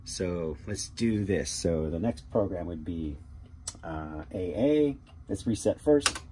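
A man giving a casual how-to demonstration as a hobbyist, talking haltingly and thinking aloud. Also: deep voice, animated. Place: small cluttered workshop indoors. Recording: noticeable background noise with clicking keys.

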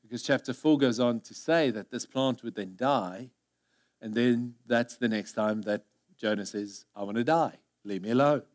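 A man in his thirties, a native Afrikaans speaker with a South African accent, preaching earnestly on a church stage through a headset mic, moving between loud emphasis and hushed asides. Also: medium pitch, moderate pace. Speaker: pastor. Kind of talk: sermon.

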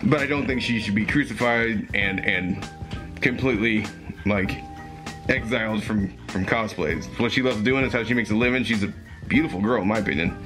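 A young man with a voice going hoarse, speaking earnestly and haltingly with fillers and restarts, recorded close on a webcam in a small room at home. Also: lowish voice, music in the background, rough recording. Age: young adult. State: losing his voice, hoarse. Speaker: commentary YouTuber. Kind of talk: reading aloud and commenting.

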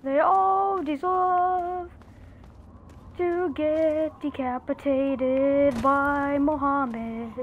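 A woman streaming a video game with friends, talking in loud, playful banter and repeating catchphrases. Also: high-pitched, fairly even intonation, extremely slow, phone-quality sound, a little hiss or hum. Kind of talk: casual gaming banter.

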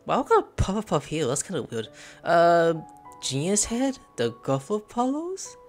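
Excitable swearing streamer guy. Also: a little high, a little slow. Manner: excitable, swearing, yells while playing. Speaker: gameplay streamer. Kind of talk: live game commentary. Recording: commentary over game music.

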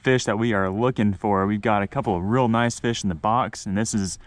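A man in his thirties filming himself fishing from a small boat in a swamp, chatting excitedly, laughing and repeating himself as fish bite. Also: fairly low pitch, a little fast, no echo. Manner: excitable, laughs often, repeats words when hooked up. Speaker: fishing vlogger. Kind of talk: excited outdoor fishing commentary. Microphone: action camera mounted on the boat.

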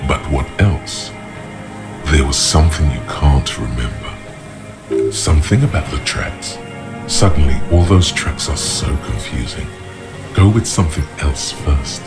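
An extremely deep male narrator speaking as a detective's inner thoughts in a video game. He is ominous, deliberate and urging, with music clearly underneath. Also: expressive. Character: brooding inner narrator voice of a troubled detective's mind. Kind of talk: scripted second-person game narration.

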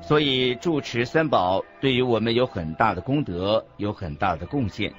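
A man playing an earnest Buddhist master who teaches in a measured, didactic way in a scripted radio drama. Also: mid-range voice, lively intonation, natural voice. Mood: earnest, instructive. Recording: dull lo-fi audio with background music. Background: music.

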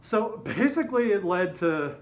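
A man's voice: high-pitched, animated, normal speed. A man telling a personal story in a casual, rambling way, hesitant and often correcting himself. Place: open living room with kitchen at home. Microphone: camera mic a short way off, speaker inside a fursuit head. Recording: dull, slightly muffled home camera audio.

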